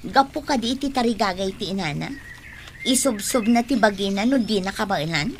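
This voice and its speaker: An actress playing a skeptical, resentful young college student in an Ilocano radio drama. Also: female voice, medium pitch, animated, a little fast, slight background noise, lightly acted. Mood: indignant.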